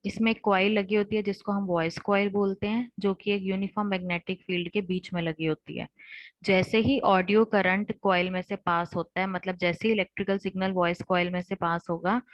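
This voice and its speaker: Low-key Indian woman teacher, flat delivery. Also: moderate pace, dry recording. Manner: explanatory, repeats points in rephrased steps. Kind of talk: online lecture explaining technical material. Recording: dull lo-fi online class audio.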